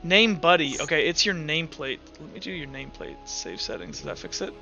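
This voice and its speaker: A man with a high voice runs a tabletop roleplay game online in a loose, informal way. He thinks through rulings as he speaks, in a bright, forward tone. The sound is slightly dull, like voice chat, with music softly behind. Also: big pitch swings, brisk, gravelly. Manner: informal, talks through rulings aloud, acts out sound effects. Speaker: game master. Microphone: online voice chat microphone.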